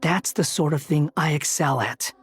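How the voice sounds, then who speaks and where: A man's voice: fairly high pitch, moderate pace, soft background music, natural voice. A man playing an aloof, self-assured young man in an anime-style game, dry and confident.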